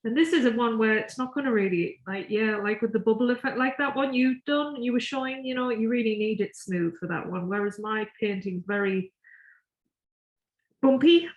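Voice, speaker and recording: A woman with a croaky voice, chatting casually with her co-host while she paints and often trailing off mid-sentence. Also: on the high side, moderate pace, roomy sound, muffled recording.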